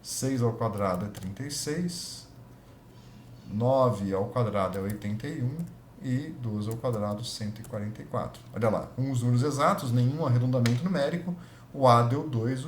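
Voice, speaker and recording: A man's voice: medium pitch, moderate pace. Man teaching a spreadsheet lesson, roomy. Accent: Brazilian Portuguese. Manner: thinks aloud, self-correcting, checks in with students. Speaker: physics lab instructor. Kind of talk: screen-recorded spreadsheet tutorial. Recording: echoey room with faint noise.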